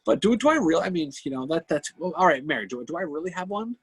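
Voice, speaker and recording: Husky New England man, animated delivery. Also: a little high, quick pace, dry recording. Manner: rambling, repeats phrases, swears casually. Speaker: podcast co-host. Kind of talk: casual livestream banter.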